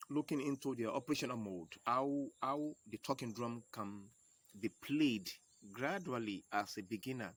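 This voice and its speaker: A man with a Yoruba accent teaching a home video lesson, going slowly and repeating each key term step by step. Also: medium pitch, fairly bright tone. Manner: slow, repeats key terms, explains step by step. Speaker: percussion teacher.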